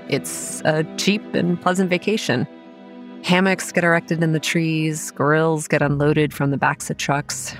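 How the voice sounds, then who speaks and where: A woman's voice: lowish voice, natural voice. An actress playing a frank, weary young woman who manages a haunted campground, narrating a horror audio drama in a confiding, matter-of-fact way. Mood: matter-of-fact, wry. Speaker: narrator and protagonist.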